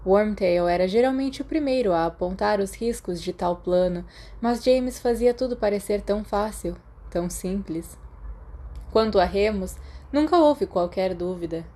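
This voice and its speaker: A woman's voice: medium pitch, warm tone. A woman with a Brazilian accent reading scripted fiction as an audiobook narrator.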